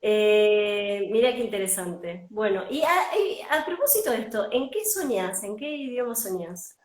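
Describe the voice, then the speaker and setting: An Argentine-accented woman interviewer at a middle pitch, curious and probing as she chains follow-up questions. Her voice carries a roomy echo. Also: animated, a little slow. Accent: Argentine Spanish. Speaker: interviewer, host. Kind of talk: conversational interview.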